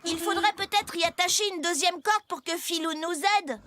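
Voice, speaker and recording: A woman voicing a kind, eager young talking tractor in a children's cartoon, with a friendly, clear delivery. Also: very high-pitched, moderate pace, sharp tone, a little hiss or hum. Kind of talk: French children's cartoon dub.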